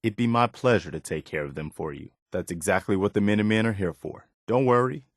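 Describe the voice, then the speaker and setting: A man's voice: lowish voice, brisk, no echo, studio quality. An actor playing an earnest, dutiful militiaman in a post-apocalyptic video game, sincere, reassuring and plain-spoken. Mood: resolute, reassuring. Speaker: video game companion character. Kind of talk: scripted game dialogue lines.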